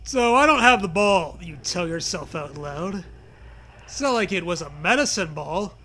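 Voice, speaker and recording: A man's voice: high voice, lively intonation, normal speed, bright, forceful tone, a little hiss or hum. A man reading a comic storybook aloud, with casual banter mixed in. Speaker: reader of a comic choose-your-own-adventure book.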